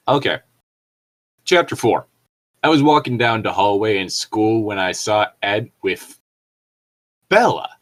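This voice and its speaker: A man's voice: on the low side, a bit bright. A man co-hosting a casual fanfiction read-aloud, tossing in wry, joking asides. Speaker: co-host reading fanfiction aloud.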